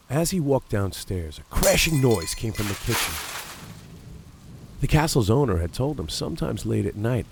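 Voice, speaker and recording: Deep, gravelly man narrating horror. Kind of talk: scripted horror narration, creepypasta reading. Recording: steady background noise of rain ambience.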